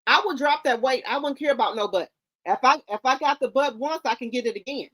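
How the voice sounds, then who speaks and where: A woman's voice: high-pitched, a little fast, fairly bright tone, rough recording. A middle-aged woman hosting casual commentary, blunt and lecturing, mixing slang into her talk. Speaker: commentary host.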